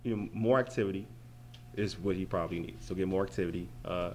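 A man with a deep, low-pitched voice talks through a boxing fight at an indoor arena event, speaking into a handheld mic. He is animated and often repeats a word while he gathers his thoughts. Steady noise runs behind him. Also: quick pace. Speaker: boxing analyst guest. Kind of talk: post-fight interview.

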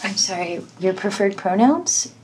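A woman's voice: medium pitch, animated, unhurried, a crowd in the background, natural voice, poor audio. An actress plays a polite woman researcher in an audio drama. She has a mid-range voice. Her delivery is careful, earnest and slightly apologetic. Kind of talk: naturalistic audio drama acting.

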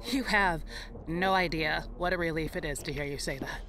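A woman's voice: deep voice, moderate pace, a bit bright, a little hiss or hum. An actress playing an earnest, resolute woman in an audio drama.

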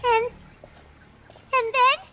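Squeaky, tiny childlike fairy companion. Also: female voice, extremely slow, noisy background, heavily acted. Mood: nervous, puzzled. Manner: refers to self in third person, exclaiming. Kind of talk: anime game voice acting.

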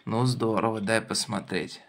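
A man's voice: lowish voice, fairly even intonation, moderate pace, gravelly. A man hosting a children's toy car show, chatting playfully with a child and prompting with questions. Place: indoors at a wooden table. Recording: faint background noise.

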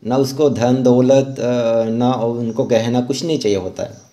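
A man narrating a step-by-step art tutorial as an instructor, often pausing mid-sentence. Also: medium pitch, steady tone, mellow.

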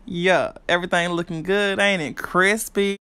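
A woman's voice: lowish voice, steady tone, normal speed, sharp tone, smooth. Brash, foul-mouthed young woman cooking at home. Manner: brash, profane, chatty, speaks straight to viewers. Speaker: cooking vlogger. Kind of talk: casual cooking walkthrough. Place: home kitchen.

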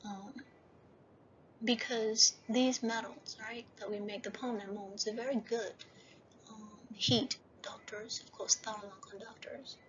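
A woman gives a recorded university lecture over slides, often checking in with "right?" and slowing down to read out each heading. Her delivery is flat and steady. The recording sounds muffled and lo-fi, with a faint hiss behind her. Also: fairly high pitch. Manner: repeats "right?" and "okay?" as checks.

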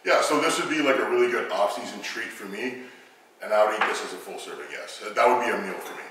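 A man's voice: fairly low pitch, expressive, fast talker, noisy background. Easygoing guy in his 30s cooking on camera, big echoey kitchen, mic kinda far off. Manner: upbeat, easygoing, laughs often. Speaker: bodybuilder vlogger. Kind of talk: casual vlog and cooking demo. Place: large home kitchen, indoors. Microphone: camera mic from a distance.

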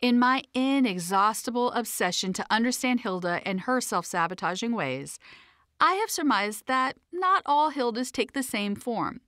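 A woman's voice: medium pitch, fairly bright tone, dry recording, clean studio sound. A woman reading her own self-help audiobook in a conversational, emphatic, playfully sassy way. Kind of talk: scripted audiobook narration.

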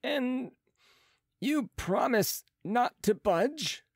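A man voicing a cautious, courteous chief surgeon with a formal, deliberate manner in an audiobook. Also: very high-pitched, animated, vocal fry, husky, dry recording, studio quality, pitched above the performer's own voice, full character voice. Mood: hesitant, courteous. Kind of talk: audiobook character voice.

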